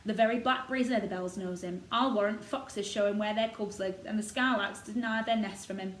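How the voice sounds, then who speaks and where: A woman's voice: medium pitch, steady tone, a little fast, close to an everyday voice. A woman voicing a blunt old male gardener with a Yorkshire accent and rustic dialect, for a storybook. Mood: blunt, amused. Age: older. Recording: roomy echo, noticeable background noise.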